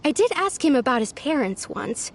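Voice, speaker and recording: A woman voicing a gentle, lonely young village woman in a video game, speaking softly and earnestly. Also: high voice, sing-song, moderate pace, slight background noise. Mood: reflective. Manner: soft, wistful and earnest. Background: faint game music.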